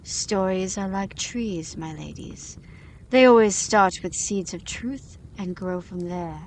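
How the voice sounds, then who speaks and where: A woman playing a wise, calmly knowing storyteller in a fantasy audio drama, with a deliberate, expressive delivery. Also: mid-range voice, a little hiss or hum, low-quality recording.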